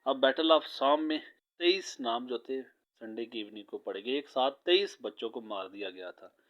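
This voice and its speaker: A man with a Pakistani accent teaching a novel, reading English lines slowly and explaining them at length in Urdu-flavored Hindi. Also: medium pitch, lively intonation, a bit bright. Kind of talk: line-by-line novel translation lesson. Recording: slightly dull lo-fi sound.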